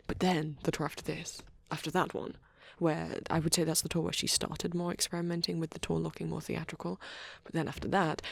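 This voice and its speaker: A woman voicing a shy young male vampire boyfriend in an ASMR roleplay, rambling softly and haltingly in a breathy near-whisper, with stammers and restarts. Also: low voice, dry recording, full character voice. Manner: hesitant, flustered, halting, with many stammers and trailing restarts.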